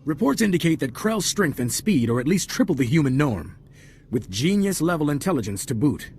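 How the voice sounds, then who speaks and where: A man's voice: fairly high pitch, sing-song, brisk, a little mellow, slight background noise. An actor playing a dutiful army corporal in a video game, formal and deferential as he briefs a superior. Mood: crisp, dutiful. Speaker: soldier giving briefing.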